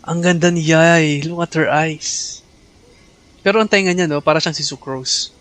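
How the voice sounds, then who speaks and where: A man's voice: a little high, normal speed, fairly bright tone. A man with a Filipino accent, a native Tagalog speaker, giving casual, chatty livestream commentary on a game. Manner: chatty, switches between Tagalog and English. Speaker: game streamer. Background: game music.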